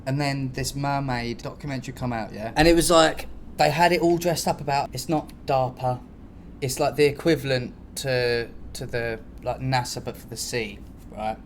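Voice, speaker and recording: A man's voice: mid-range voice, fairly even intonation, normal speed, a little hiss or hum. A man with a British accent hosting a casual, improvised podcast, chatting in slangy, sweary language. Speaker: podcast host.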